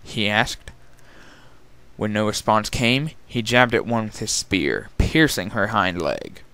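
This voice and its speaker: A man's voice: fairly low pitch, animated, slow, sharp tone, clear, resonant tone. A man narrating a scripted story as a dramatic reading. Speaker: narrator and reader.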